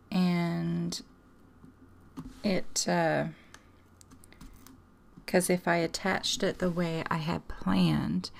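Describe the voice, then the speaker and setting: A woman hosting a craft tutorial, talking casually and chattily with a hoarse, strained voice. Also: lowish voice. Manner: chatty, self-deprecating, laughs often. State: hoarse, losing her voice.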